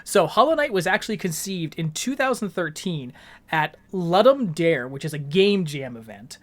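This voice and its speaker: A man co-hosting a podcast, explaining things from his notes in an articulate, measured, conversational way. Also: on the high side, sing-song. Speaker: podcast co-host.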